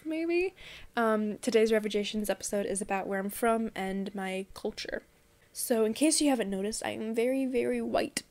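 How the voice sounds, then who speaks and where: A woman's voice: a little high, darkish tone. A young woman from Texas talking casually to a webcam in her bedroom, rambling with many fillers and restarts. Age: young adult. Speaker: vlogger. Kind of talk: casual monologue to camera. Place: small bedroom indoors.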